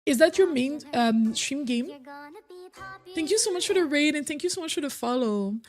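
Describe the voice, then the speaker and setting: High-voiced chatty woman streamer. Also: lively intonation, moderate pace, soft background music. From Quebec, originally Cameroon. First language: French. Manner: chatty, lots of "like" and repeats. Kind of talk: casual live-stream chat.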